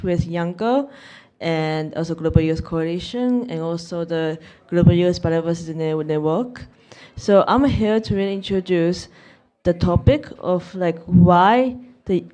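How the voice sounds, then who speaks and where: A woman's voice: lowish voice, dark tone. A woman giving an unscripted keynote at a conference, hesitant, with many fillers and restarts. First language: Japanese. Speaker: keynote speaker, youth representative. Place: conference venue.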